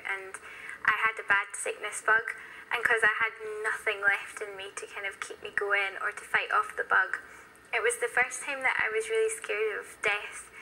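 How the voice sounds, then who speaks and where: A woman's voice: medium pitch, sharp tone, a little hiss or hum, rough recording. A British woman in her twenties giving a candid, reflective personal testimony as a documentary interviewee. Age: 20s.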